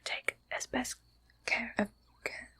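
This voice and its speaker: A woman playing a shady, secretive back-alley doctor in an ASMR roleplay, speaking in a halting, conspiratorial, breathy whisper with some creak. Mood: conspiratorial, faintly menacing. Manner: slow, hushed, halting, conspiratorial.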